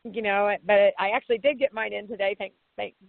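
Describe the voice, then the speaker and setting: A woman's voice: medium pitch, steady tone, fairly quick, gravelly, no echo. A woman in her fifties, a pageant titleholder chatting as an interview guest in a folksy, talkative way, often laughing. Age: 50s. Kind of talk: casual interview chat. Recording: tinny phone line, dry.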